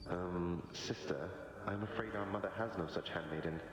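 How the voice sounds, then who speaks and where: A man's voice: deep voice, moderate pace, noisy background, lightly acted. A man voicing a wry, easygoing young underworld prince in a video game, with a sardonic, casual manner. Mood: curious, wry. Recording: long echoing reverb with music behind.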